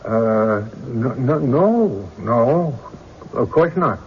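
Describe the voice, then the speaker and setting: A man playing an evasive, defensive rustic witness in a radio courtroom drama, with a folksy, halting, stammering delivery. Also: mid-range voice, expressive. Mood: cornered, flustered. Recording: dull, noisy old radio recording.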